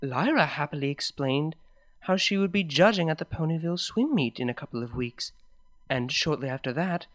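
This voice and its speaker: A man reading a first-person fan story aloud in a steady, even way. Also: a little high, moderate pace. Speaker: story reader.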